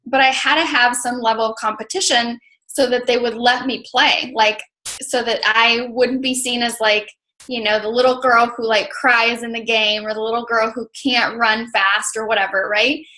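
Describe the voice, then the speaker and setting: A woman's voice: fairly high pitch, steady tone, normal speed, bright, forceful tone, rough recording. A woman from Mexico, a native Spanish speaker, talking casually as an interview guest and filling her speech with "like" and "you know". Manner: chatty, many fillers like "like" and "you know". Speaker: interview guest, coach mentor.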